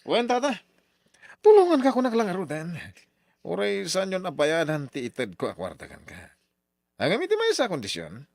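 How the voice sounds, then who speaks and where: An actor with a Filipino accent playing an abusive, hot-tempered younger man in a radio drama, loud and barking. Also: sits high, huge pitch swings, moderate pace, gravelly, dry recording, heavily acted. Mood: domineering, pressing. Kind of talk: melodramatic radio drama acting.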